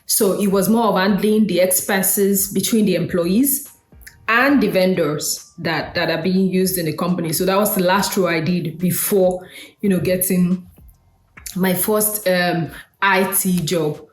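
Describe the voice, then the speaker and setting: A woman's voice: lowish voice, fairly even intonation, unhurried, roomy sound. A woman from Nigeria, hosting a casual solo talk in a conversational way, with fillers and asides. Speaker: host.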